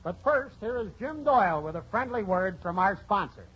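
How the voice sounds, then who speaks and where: Male comic quiz co-host, 1940s radio, high pitch, wisecracker, dull lo-fi sound. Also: expressive, normal speed, slightly rough. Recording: muffled old radio broadcast, faint noise.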